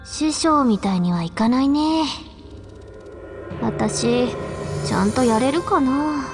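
A woman's voice: high voice, expressive, slow pace, heavily acted. An actress voicing a bubbly, careless teenage girl treasure hunter with a playful, breezy manner in a Japanese video game. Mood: unsure. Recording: game music behind.

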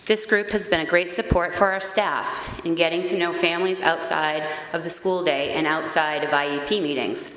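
A woman in her forties with a loud, carrying voice, reading a prepared statement at a podium microphone in a town meeting chamber. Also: lowish voice, normal speed, hardly any pauses. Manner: earnest, steady reading with occasional hesitant fillers. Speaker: parent group facilitator at a public meeting. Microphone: gooseneck podium microphone. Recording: echoey hall, faint background hiss.

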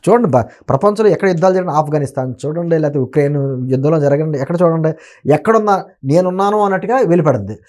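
Fast, high-pitched man arguing politics. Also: expressive, a little mellow. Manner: emphatic, argumentative, rhetorical questioning. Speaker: political commentator, interview guest. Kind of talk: opinionated political commentary in conversation.

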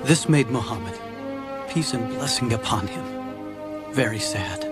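A man narrating an animated film in a solemn, reverent, scripted style. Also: mid-range voice, unhurried, loud music behind the voice, close to an everyday voice.

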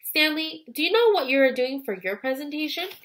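Woman voicing an earnest, bright young schoolgirl. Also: high voice, very animated, normal speed, slight background noise, voice pushed up. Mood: friendly, eager. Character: shy, earnest young schoolgirl narrating her own story.

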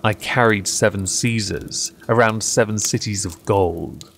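A man reading poetry aloud in a measured, dramatic style, with deliberate, theatrical phrasing and pauses. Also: lowish voice, fairly bright tone. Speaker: poetry reader and channel host. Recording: clear background noise.

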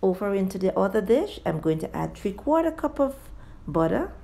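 Mellow-voiced woman narrating a recipe. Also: medium pitch, very animated, normal speed, creaky. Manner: addresses viewers as guys. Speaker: home cooking show host. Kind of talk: cooking tutorial voice-over, step by step.

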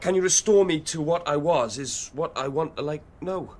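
Man playing an insolent, high-voiced teenage thug. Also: normal speed, a little mellow, natural voice. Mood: pleading. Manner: theatrical slang-heavy speech. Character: insolent, volatile teenage male street thug in dystopia. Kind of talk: radio drama acting and narration.

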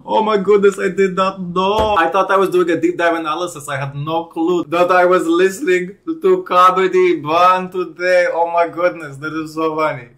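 Young guy, kinda high voice, deadpan sarcastic commentary, headset mic at home. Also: normal speed, hardly any pauses. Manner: sarcastic, deadpan mock-formal delivery. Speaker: host. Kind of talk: casual reaction commentary. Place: home room with bookshelves.

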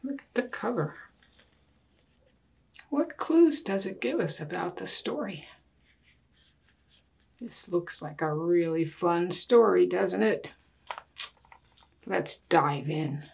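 An older woman reading a picture book aloud to children in a deliberate manner, putting questions to her listeners. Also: on the low side, expressive, vocal fry, darkish tone. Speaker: story time reader. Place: small indoor room with books and wall quilt.

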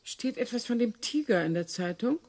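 A woman's voice: a little high, lively intonation, moderate pace, darkish tone. An actress in a radio play voicing a placid, patient older lady. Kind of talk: radio drama acting.

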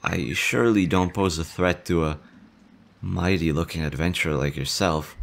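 Nervous male slime monster, played by a man, stammers, anxious, low voice, soft birdsong behind. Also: normal speed, vocal fry. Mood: shy. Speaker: voice actor. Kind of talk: audio roleplay.

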